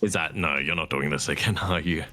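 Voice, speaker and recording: A man's voice: very deep voice, fairly quick, bright, forceful tone, faint music behind. A man chatting casually with a friend while they play a game, in a dry, teasing manner. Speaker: streaming co-player. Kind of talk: casual chat while gaming.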